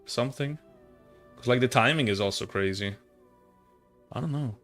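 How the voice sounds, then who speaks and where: Young male game streamer: crisp bright tone, medium pitch, casual and slangy, thinking aloud haltingly. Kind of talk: casual live game commentary. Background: game music.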